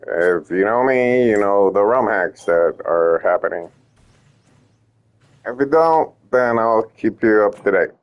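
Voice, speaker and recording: Slow, rambling man from Puerto Rico. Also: lowish voice, animated, dull audio. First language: Spanish. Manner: rambling, self-interrupting, joking. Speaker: ROM hack creator giving updates. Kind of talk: casual rambling vlog update.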